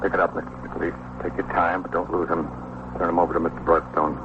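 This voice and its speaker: Man acting a brisk, deep-voiced police lieutenant. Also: flat delivery, natural voice. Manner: matter-of-fact, commanding. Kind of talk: vintage police radio drama acting. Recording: muffled, noisy old radio recording.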